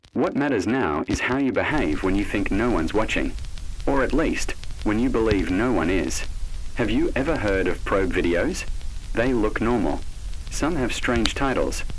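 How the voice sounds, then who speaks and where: Ominous low-voiced male horror narrator. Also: a little hiss or hum, poor audio. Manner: ominous, addressing the listener directly.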